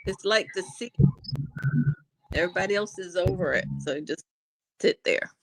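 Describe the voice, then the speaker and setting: A woman's voice: fairly low pitch, normal speed, gravelly, no room sound, poor audio. A sleepy woman, giving easygoing, amused replies in casual chat. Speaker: church praise team member.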